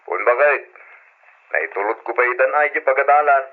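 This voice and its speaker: Young father male actor, radio drama: affectionate, respectful, medium-pitched voice, dull recording. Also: a little fast, lightly acted. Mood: affectionate, easygoing.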